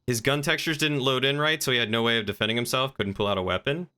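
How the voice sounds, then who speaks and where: A man's voice: medium pitch, quick pace, sharp tone, smooth. A man streaming a video game, chatting casually and musing aloud to himself as he plays. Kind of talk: casual livestream chat while gaming.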